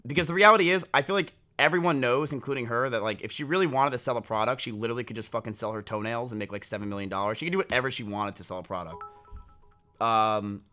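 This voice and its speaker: Young male streamer, New Jersey accent, deep and forceful voice, blunt joking delivery. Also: quick pace, soft background music. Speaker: streamer reacting to a video. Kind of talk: casual live reaction commentary.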